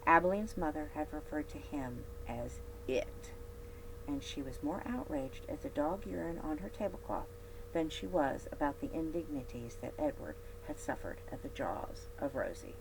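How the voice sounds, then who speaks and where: A woman narrating a children's storybook as a library read-aloud host, with expressive, emphatic phrasing. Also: deep voice, normal speed. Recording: steady background hum and noise.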